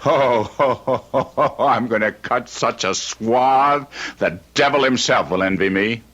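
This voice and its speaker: An actor playing a wicked, greedy young heir in a radio drama, theatrical and sneering, with a raspy voice. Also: male voice, mid-range voice, a little slow, fairly bright tone, muffled recording, slight background noise, natural voice. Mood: gloating. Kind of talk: old-time radio drama acting.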